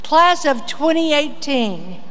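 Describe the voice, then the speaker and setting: Slow-speaking woman in her seventies, high-pitched. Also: big pitch swings, a bit bright. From Texas. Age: 70s. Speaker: commencement guest speaker. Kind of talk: prepared humorous commencement speech. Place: large auditorium stage at a commencement. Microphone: podium microphone. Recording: reverberant hall with background noise.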